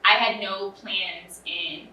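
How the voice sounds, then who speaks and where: A woman's voice: medium pitch, steady tone, takes it slowly, pushed and bright, distant mic, a little hiss or hum. A young woman student answering interview questions in an earnest, casual way, with many "um"s and "you know"s. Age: young adult. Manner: earnest, filler-heavy with frequent "um" and "you know". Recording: roomy, off-mic with faint ambience.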